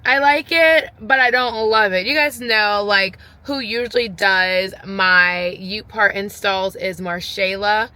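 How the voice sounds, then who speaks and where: A woman's voice: mid-range voice, steady tone, takes it slowly, few pauses, bright, forceful tone, roomy sound. A young woman chatting casually to camera in a lifestyle vlog, talking to her viewers and to herself and repeating words for emphasis. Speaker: lifestyle vlogger.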